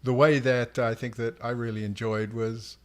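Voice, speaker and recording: A man with an average-pitched voice co-hosts a casual livestream as an author. He talks in an easygoing, conversational way, feeling for his words as he goes. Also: flat delivery, moderate pace.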